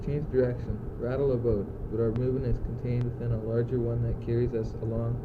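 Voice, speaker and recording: A man's voice: mid-range voice, flat delivery, quick pace, close to an everyday voice. A teenage male student acting an anguished, philosophical courtier facing death in an amateur radio play, speaking in earnest declamation. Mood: resigned. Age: teens. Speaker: student actor. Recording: muffled, noisy old amateur recording.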